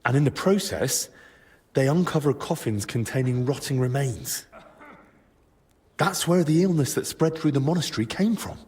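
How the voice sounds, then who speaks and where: A man's voice: mid-range voice, animated, darkish tone, natural voice. Male actor as earnest young medieval hero. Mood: grave, explaining. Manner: earnest, measured, respectful. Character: earnest, determined young man in medieval Bohemia. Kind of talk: dramatic video game cutscene acting.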